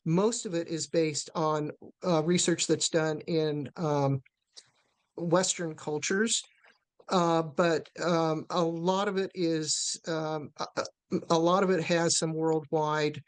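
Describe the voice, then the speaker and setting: A woman presenting a live webinar, answering questions haltingly with many fillers and restarts. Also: low-pitched, steady tone.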